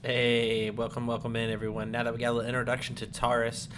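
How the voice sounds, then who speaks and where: A man's voice: mid-range voice, monotone, fast. A man hosting a casual let's-play with enthusiastic commentary. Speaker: gaming streamer, host. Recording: clear background game ambience noise.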